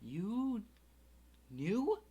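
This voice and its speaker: A man's voice: sits high, big pitch swings, very slow, dark tone, husky, voice pushed up, exaggerated voice. A man voicing a bewildered young boy who asks stammering, urgent questions in an audiobook reading.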